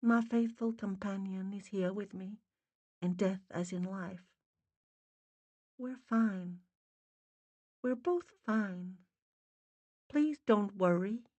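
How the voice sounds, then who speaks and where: A woman's voice: on the high side, slow pace, long pauses, darkish tone, pitched above the performer's own voice. A woman voicing the loving ghost of a mother with a Boston accent, faint and muffled, in an audiobook. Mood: loving, reassuring.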